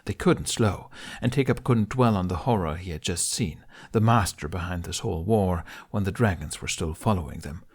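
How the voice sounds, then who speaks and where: A man with a fairly low voice narrates an adventure audiobook. The delivery is scripted and dramatic, in the manner of a storyteller. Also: moderate pace, hardly any pauses, breathy edge.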